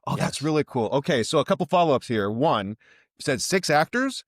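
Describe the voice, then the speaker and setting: A man's voice: on the high side, very animated, brisk, dry recording, studio quality. A man hosting a casual interview, speaking in an engaged, enthusiastic way and often checking in with the guest. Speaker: podcast host, interviewer.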